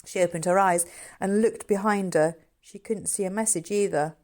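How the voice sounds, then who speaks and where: A woman author reading her own novel aloud in an expressive storybook narration style. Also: mid-range voice, moderate pace.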